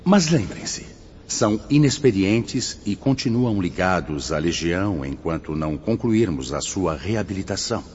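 Solemn deep-voiced Brazilian spirit mentor. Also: male voice, lively intonation, darkish tone, a little hiss or hum. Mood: encouraging, cautioning. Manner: measured, didactic, preacherly. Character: solemn, fatherly male spirit mentor guiding suicide souls. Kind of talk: scripted radio drama acting. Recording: muffled audio with music underneath.

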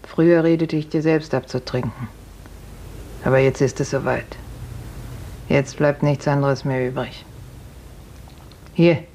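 A woman playing a worldly, consoling older peasant in a 1950s radio drama, low and plain-spoken. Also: steady tone, unhurried, long pauses, warm tone. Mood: resigned urging. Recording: faintly noisy 1950s radio recording.

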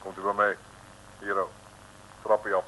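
A man's voice: fairly low pitch, monotone, a little slow, sharp tone. A man playing a surly, terse doorman in an old radio serial, dismissive and curt. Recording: noisy, crackly old radio recording.

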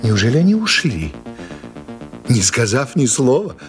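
An imaginative, dreamy male writer in an audio drama. He muses theatrically, very slowly, with a widely swinging melody to his speech. Loud music plays beneath him. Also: mid-range voice, sing-song. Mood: bewildered. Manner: theatrical, musing aloud with drawn-out pauses.

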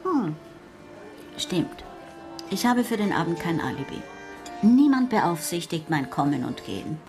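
An actress playing a worldly, self-possessed murder suspect in a German radio crime drama, playfully mocking and unruffled. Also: female voice, on the low side, very animated, measured pace. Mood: mocking, defiant. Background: music.

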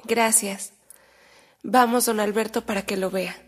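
An actress playing a courteous, caring sister in a radio drama, speaking gently and formally. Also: female voice, mid-range voice, fairly even intonation, unhurried, lightly acted. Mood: gentle, solicitous. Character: Lola, courteous, caring sister of an ailing bishop.

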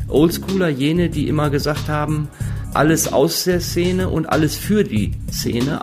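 A man speaking as an interviewed expert, explaining analytically in formal, measured phrases. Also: fairly high pitch.

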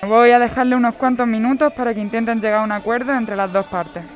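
A woman's voice: fairly high pitch, steady tone, fast, slight background noise, lightly acted. An actress playing a polite but firm female court official in an audio drama. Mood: formal, firm. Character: brisk, formal court official overseeing an eviction.

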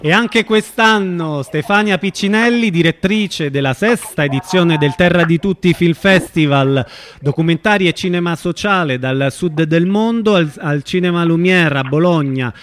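A man's voice: on the high side, moderate pace, a bit bright, soft background music. A man hosting a live radio interview, informal and loosely phrased, with hesitations as he makes announcements and puts questions. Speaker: radio show host.

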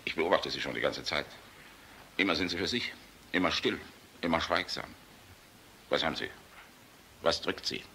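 Bright, forceful, jovial general. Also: male voice, rumbling low, moderate pace, long pauses, slight background noise, exaggerated voice. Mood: probing, confiding. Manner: hearty, sardonic, commanding. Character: jovial, outspoken Luftwaffe general, blunt and fatherly. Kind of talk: German radio drama acting.

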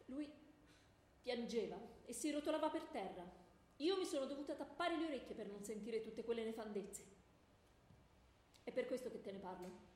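A woman's voice: a little high, animated, normal speed, pauses often, a little mellow, natural voice. A woman playing an indignant, jealous goddess wife in comic radio theatre, melodramatic and scandalized. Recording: echoey hall with faint background noise.

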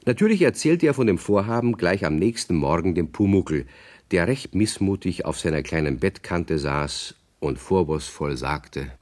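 An actor as the storyteller of a children's radio play, narrating calmly from a script. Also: male voice, low voice, moderate pace. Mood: measured storytelling.

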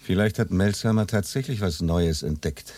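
Deep, husky, wary newsroom man. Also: normal speed, a little mellow. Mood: disgusted, uneasy. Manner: wary, grumbling. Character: cautious, uneasy male radio editor, grumbling and reluctant. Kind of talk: naturalistic German radio drama acting.

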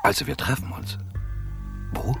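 A man's voice: measured pace, over music, lightly acted. An actor playing an unsure, pliant undertaker in love with his accomplice in a radio crime drama. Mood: hesitant, smitten. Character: hesitant, lovesick male undertaker, partner in crime.

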